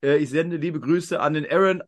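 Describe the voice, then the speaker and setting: A man's voice: on the high side, moderate pace. A man hosting a quiz podcast in a casual, talkative and rambling way. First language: German.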